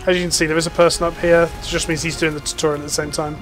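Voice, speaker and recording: A man's voice: on the high side, little pitch movement, racing, a bit bright, soft background music. A young man casually commenting on his gameplay, explaining things with lots of fillers. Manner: chatty, explanatory, many fillers. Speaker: gaming YouTuber.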